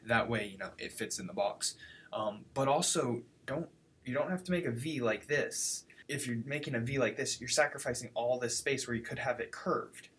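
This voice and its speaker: A man's voice: mid-range voice, moderate pace, a bit bright. Young man explaining casually on webcam. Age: young adult. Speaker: student. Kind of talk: explaining to camera. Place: home office, indoors.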